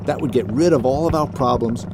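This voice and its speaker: A man's voice: medium pitch, fairly quick, background noise, close to an everyday voice. Man playing a blunt, skeptical boat skipper. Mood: exasperated. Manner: blunt, sarcastic. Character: gruff, skeptical, hot-headed male salvage skipper. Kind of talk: audio drama acting.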